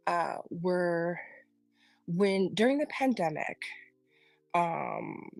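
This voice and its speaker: A woman from the Philippines, a kindergarten teacher whose first language is not English, speaking in an online panel with halting, earnest remarks. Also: lowish voice, dry recording, poor audio. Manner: halting, searching for words, earnest.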